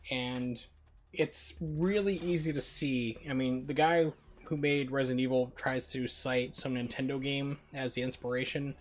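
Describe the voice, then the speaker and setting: A man's voice: medium pitch, fairly even intonation, unhurried, a little hiss or hum. A man giving casual, rambling, opinionated live commentary as he streams a video game and chats with viewers. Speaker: game livestreamer.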